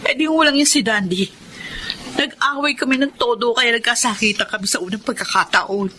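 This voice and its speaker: High, bright, emotional Filipina mother. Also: brisk, a little hiss or hum. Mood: bitter. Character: regretful, lonely, feisty middle-aged mother. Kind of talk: Filipino radio drama acting.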